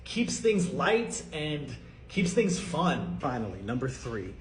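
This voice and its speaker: A man's voice: mid-range voice, big pitch swings, takes it slowly, low-quality recording. A man from the United States giving a scripted, upbeat monologue to camera as a vlogger, listing his points. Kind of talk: scripted social media monologue. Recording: clip played with music under it.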